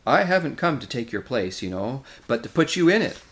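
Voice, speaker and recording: Boy High King, read by a man, composed, courteous, decisive, steady, storybook hero. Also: a little fast, natural voice.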